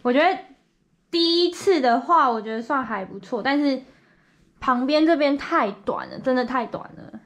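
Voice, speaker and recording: A woman's voice: high voice, animated, normal speed, fairly bright tone. A young woman chatting casually to a camera at arm's length, frank and questioning as she gives an honest critique. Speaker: co-host. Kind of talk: casual chat. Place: small indoor room.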